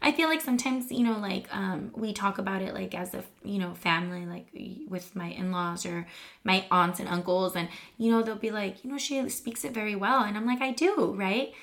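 A woman's voice: mid-range voice, fairly quick, fairly bright tone. A woman in her thirties co-hosting a podcast, chatting casually with many fillers and laughing easily. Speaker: podcast co-host. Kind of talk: casual chat.

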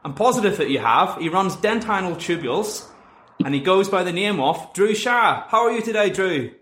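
A man's voice: high voice, lively intonation, normal speed, fairly bright tone. A man hosting a podcast, chatty and upbeat in casual conversation. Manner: chatty, upbeat, British colloquial. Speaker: podcast host. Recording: echoey room.

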